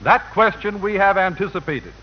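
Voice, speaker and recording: High-pitched man announcing on old radio. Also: animated, moderate pace. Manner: formal, oratorical. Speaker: master of ceremonies introducing speakers. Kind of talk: formal 1940s radio announcing. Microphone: broadcast microphone. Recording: dull lo-fi 1940 radio broadcast.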